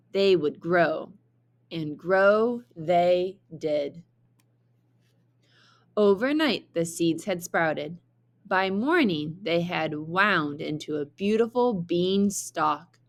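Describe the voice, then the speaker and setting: A woman narrates a children's storybook in the manner of a teacher. Her voice is slightly low, and she reads very slowly and deliberately, with expressive emphasis. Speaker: teacher reading aloud.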